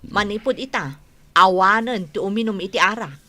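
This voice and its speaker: A woman's voice: medium pitch, normal speed, pushed and bright, close to an everyday voice. A woman in a radio comedy drama playing a worried, exasperated wife who scolds her drinking husband. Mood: scolding.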